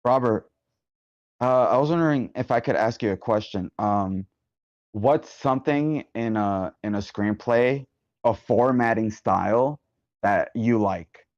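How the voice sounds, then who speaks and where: A man chatting casually and informally in an online meeting, phrasing things in a halting way. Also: medium pitch, darkish tone, no echo, muffled recording. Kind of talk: casual chat.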